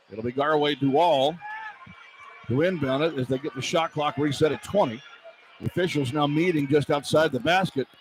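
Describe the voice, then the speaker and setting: A male radio play-by-play announcer with a high-pitched voice calls basketball live. He speaks in clipped, running phrases that climb with the action, over faint arena noise. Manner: clipped running phrases, voice rising to shouts on big plays. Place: courtside in a college basketball arena. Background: arena ambience, faint noise.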